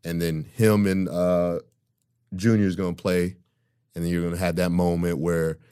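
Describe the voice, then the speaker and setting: A man with a deep voice co-hosting a casual podcast, talking emphatically and often laughing. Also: little pitch movement, moderate pace, dark tone, clear, resonant tone. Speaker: podcast co-host. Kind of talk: casual chat.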